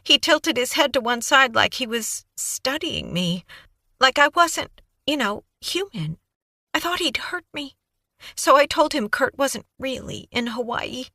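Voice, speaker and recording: A woman voicing a flustered, tearful young woman who babbles through her story in a high, breathless voice for an audiobook. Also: lively intonation, a little slow, sharp tone, dry recording, clean studio sound, full character voice. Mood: frightened. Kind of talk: audiobook character voice.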